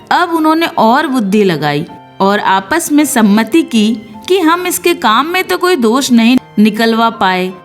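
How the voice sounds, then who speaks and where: A woman reading a scripted devotional Bible story in an earnest, storytelling manner. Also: a little high. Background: background music.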